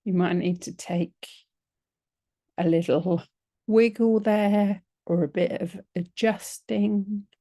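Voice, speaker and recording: A woman teaching yoga nidra, leading a guided relaxation in a gentle, soothing, unhurried manner with long pauses. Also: mid-range voice, steady tone, no room sound.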